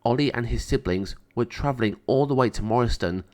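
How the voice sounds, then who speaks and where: A man's voice: medium pitch, a little fast, darkish tone. A man reading scripted narration from a children's story aloud. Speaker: storybook reader.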